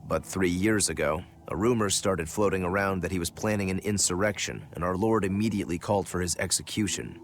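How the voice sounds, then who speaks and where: A man's voice: low voice, fairly quick, hardly any pauses, slight background noise. A man voicing a plainspoken, brooding demon swordsman in dubbed video game dialogue. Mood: somber, regretful. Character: male swordsman turned demon, brooding, self-critical eldest-rival brother.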